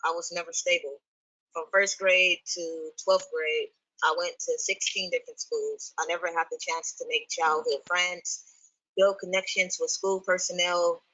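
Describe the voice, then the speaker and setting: A woman's voice: lowish voice, bright, forceful tone, no room sound. Matter-of-fact young woman, dry lo-fi sound. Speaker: former foster youth. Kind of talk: read personal testimony.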